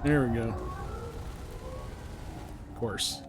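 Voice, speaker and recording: A man's voice: mid-range voice, expressive, drawn-out words. A man streaming a game, commenting casually and muttering to himself with slangy, mildly profane asides. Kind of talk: casual live gameplay commentary.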